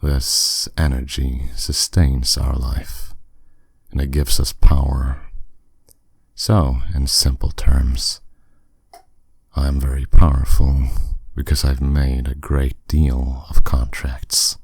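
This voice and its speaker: A man playing a powerful, courteous demon captor in an ASMR roleplay, speaking with smooth, teasing, condescending authority. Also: a bass voice, flat delivery, darkish tone, no echo, exaggerated voice. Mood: calm, matter-of-fact. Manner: smooth, condescending, unhurried, with endearments.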